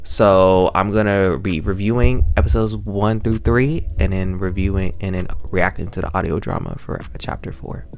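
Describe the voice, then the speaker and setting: Male YouTube reviewer, low register, chatty and self-aware, loops back over phrases playfully, close-miked. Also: fairly even intonation, moderate pace, few pauses, darkish tone, soft background music. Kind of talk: casual chatty review to camera. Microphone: microphone held very close to the mouth.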